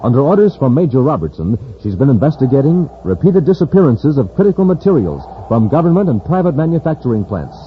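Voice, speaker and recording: Animated male old-time radio announcer. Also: medium pitch, fast talker, few pauses. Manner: emphatic, theatrical showman delivery. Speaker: announcer and narrator. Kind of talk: 1950s radio announcing, scripted narration and ads. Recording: muffled old radio recording, faint noise.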